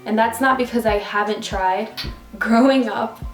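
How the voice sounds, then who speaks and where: A woman's voice: a little high, fairly even intonation, a little slow. Chatty young woman in a roomy room. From New York City. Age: 20s. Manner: chatty and rambling, with asides. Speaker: vlogger. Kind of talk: casual get-to-know-me vlog. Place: at home, indoors. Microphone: phone camera. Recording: roomy room with faint background music.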